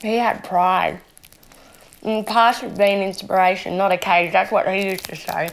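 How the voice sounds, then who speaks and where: A woman's voice: mid-range voice, steady tone, normal speed, vocal fry, natural voice. An actress playing an opinionated young female zombie with a conscience, ranting conversationally and swearing freely in an Australian accent, as if interviewed for a mockumentary. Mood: wistful, disillusioned. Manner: sweary, indignant, conversational rambling.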